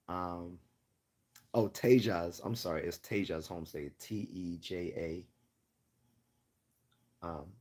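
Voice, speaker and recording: A man hosting a casual livestream Q&A, chatting and rambling as he loses his voice and keeps clearing his throat. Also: deep voice, a little mellow. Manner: rambling, chatty, laughs often. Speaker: livestream host.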